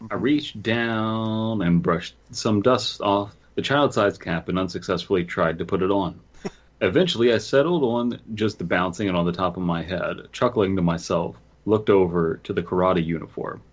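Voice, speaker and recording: Dude podcast host, low voice, jokey n halting, bit lo-fi mic. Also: male voice. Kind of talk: casual comedic chat, reading stories aloud. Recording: slightly dull, lo-fi microphone sound.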